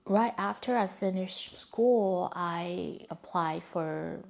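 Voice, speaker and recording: A woman's voice: lowish voice, slow pace, gravelly, darkish tone. A young adult woman from China, a native Mandarin speaker with a Chinese accent, telling her life story in a reflective, candid way. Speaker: vlogger. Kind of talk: personal storytelling.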